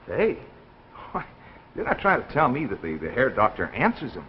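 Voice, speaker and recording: An actor playing a breezy, money-minded, skeptical suitor, glib and casual, in a 1950s radio drama. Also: male voice, mid-range voice, wildly swooping pitch, normal speed. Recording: dull 1950s broadcast, faint noise.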